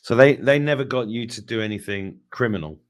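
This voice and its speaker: A man's voice: mid-range voice, fairly quick. A man interviewing in a casual, conversational way, feeling his way hesitantly toward his questions.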